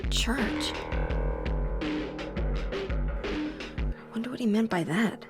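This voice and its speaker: A woman's voice: fairly high pitch, lively intonation, moderate pace, loud music behind the voice, lightly acted. A woman voicing a guarded, weary young woman. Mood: wary. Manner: quiet, muttering to herself. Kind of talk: video game cutscene acting.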